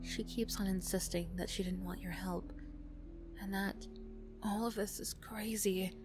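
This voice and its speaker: Hushed woman narrating an ASMR story. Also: medium pitch, steady tone, unhurried, over music. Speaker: narrator of an audio roleplay.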